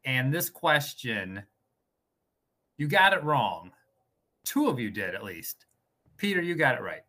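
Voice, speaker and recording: A man in casual sports-podcast banter, his voice bright and edged. His pitch swings widely as he teases and presses the others in disbelief. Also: sing-song, unhurried. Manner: incredulous, emphatic, teasing. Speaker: podcast regular running a question segment.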